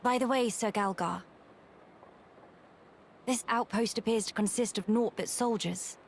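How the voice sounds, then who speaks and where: An actress playing a dignified young empress in a video game, firm and gracious, with regal, formal phrasing. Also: female voice, on the high side, unhurried, a little hiss or hum. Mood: composed, questioning.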